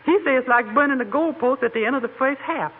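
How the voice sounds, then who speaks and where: A very high-pitched, sassy housemaid in a 1940s radio comedy. She is cheerful, folksy and emphatic, heard on a tinny old broadcast recording. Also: fast talker, breathy edge. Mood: earnest, proud.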